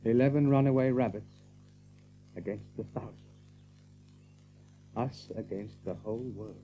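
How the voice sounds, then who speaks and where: Rabbit leader: steady, concerned, fairly deep, hushed; narrow-band drama recording with hum. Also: male voice, measured pace, breathy edge. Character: steady, protective male rabbit leader, worried.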